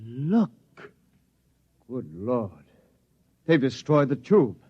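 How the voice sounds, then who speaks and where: A man's voice: medium pitch, big pitch swings, extremely slow, husky, exaggerated voice. A man playing a hard-line army colonel, commanding, curt and belligerent, in 1950s radio drama style. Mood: grim, hawkish. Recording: dull old radio recording.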